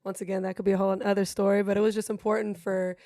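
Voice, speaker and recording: A woman's voice: medium pitch, level tone, rapid-fire, warm tone, no room sound. Candid woman, dry sound. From Germany. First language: German. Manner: rambling, frank, swears freely. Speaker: guest streamer. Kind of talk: casual interview chat.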